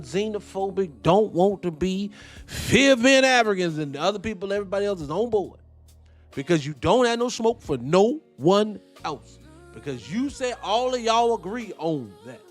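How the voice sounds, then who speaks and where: Fired-up man ranting, swearing freely. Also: sits high. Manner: profane, animated, ranting with tangents. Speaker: host. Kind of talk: heated casual commentary.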